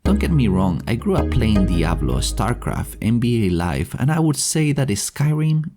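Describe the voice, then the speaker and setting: A middle-aged man narrating a scripted devlog in a low-key, sincere manner. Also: sing-song, a little slow. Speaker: indie game developer and devlog host. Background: background music.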